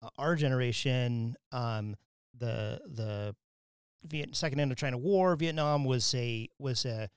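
A man from Wyoming hosting an academic podcast, talking in a loose, rambling way with many restarts and quick laughs. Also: mid-range voice, clear, resonant tone, dry recording, studio quality. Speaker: podcast host. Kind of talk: casual academic interview.

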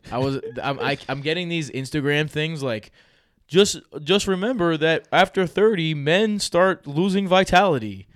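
A man's voice: on the high side, moderate pace, dry recording, studio quality. A man, hosting a fantasy football podcast in loose, joking chat with his brother. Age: 30s. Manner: animated, laughs often, loose and joking.

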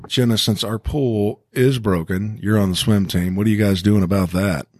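A man's voice: on the low side, steady tone, normal speed, darkish tone. A man hosting a casual school podcast, encouraging his co-hosts and prompting them with questions. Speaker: podcast host.

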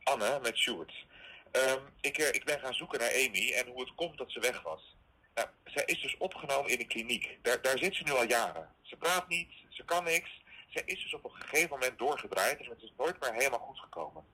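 An actor playing a worried, rattled man leaving a halting, urgent voice message in an audio drama. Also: medium pitch, animated, sharp tone, husky, low-quality recording. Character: worried, rattled male associate warning by voice message.

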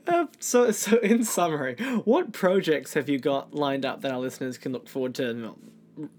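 A man's voice: a little high, expressive, normal speed, a little mellow. An Australian man hosting a podcast in a polite, casual conversational way. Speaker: podcast host. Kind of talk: casual interview and podcast sign-off.

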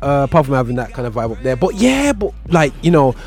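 A man's voice: mid-range voice, sing-song, fast, soft background music. A man hosting a casual talk show, laid-back, laughing often and using British slang. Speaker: show host. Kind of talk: casual podcast chat.

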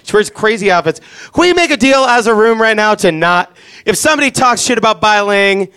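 A man's voice: high voice, animated, a little fast, bright, forceful tone, smooth. A man hosting a live podcast, chatting casually and joking sarcastically with an audience in the room. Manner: jokey, sarcastic. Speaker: podcast host.

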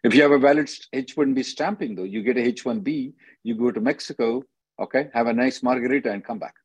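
A man's voice: medium pitch, fast, husky, no echo, highs cut off. A man hosting a call-in as an attorney, advising callers in a blunt, directive way and often checking with "okay?".

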